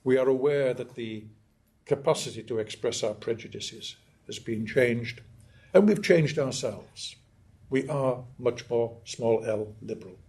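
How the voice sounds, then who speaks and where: An older man from northeast England, giving a measured, emphatic academic lecture and often clearing his throat. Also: mid-range voice, a little mellow. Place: lecture hall.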